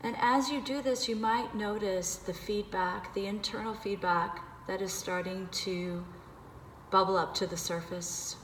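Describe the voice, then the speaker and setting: A woman leading a guided meditation, giving gentle, unhurried instructions with pauses. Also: mid-range voice, fairly even intonation. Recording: echoey reverberant room with background noise.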